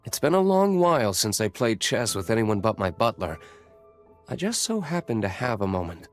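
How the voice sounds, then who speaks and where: Casting: aloof young game nobleman, low-pitched, formal and curt, music behind. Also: sing-song. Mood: aloof, measured. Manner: reserved, measured, curt, formal. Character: aloof, brooding young nobleman warrior and tavern owner. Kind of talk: video game character voice lines.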